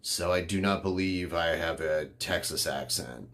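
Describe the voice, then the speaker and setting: Laid-back low-voiced man, rambling chat. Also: a little slow, fairly bright tone. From northern United States. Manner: rambling, filler-heavy, offhand. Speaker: podcast host. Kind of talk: casual chat answering chat.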